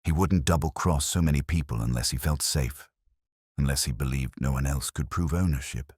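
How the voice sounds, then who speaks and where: A man voicing a shrewd middle-aged male police inspector who speaks quietly and deliberately, with a husky voice. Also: a bass voice, dry recording, studio quality. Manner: quiet, measured, probing. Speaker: detective inspector.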